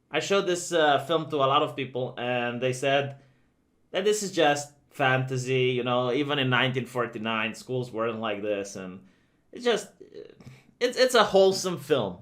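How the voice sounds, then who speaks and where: A man's voice: medium pitch, expressive, measured pace, fairly bright tone. A man from Romania, giving opinionated vlog commentary in a conversational way, with lots of "uh" and "um". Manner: conversational and opinionated, many fillers. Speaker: video commentator.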